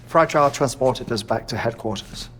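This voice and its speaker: A man's voice: mid-range voice, fairly bright tone, close to an everyday voice. Man acting grave scientist, faint noise behind. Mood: serious, explanatory. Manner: formal, grave, measured. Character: grave, deliberate male scientist advising the hero. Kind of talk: video game cutscene acting.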